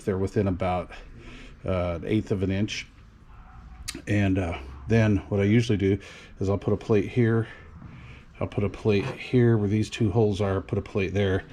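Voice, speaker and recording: A middle-aged man casually talking through a DIY job to camera in a folksy, unhurried, step-by-step way. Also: low-pitched, a little mellow, slight background noise. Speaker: DIY radio hobbyist and channel host. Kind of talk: casual workshop explanation to camera.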